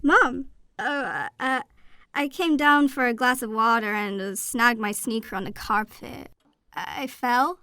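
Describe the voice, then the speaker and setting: A woman acting as a headstrong, anxious girl of thirteen in an audio drama. Her voice is high and bright, with expressive, slangy teenage delivery and a sarcastic edge. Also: unhurried. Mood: confused, flustered.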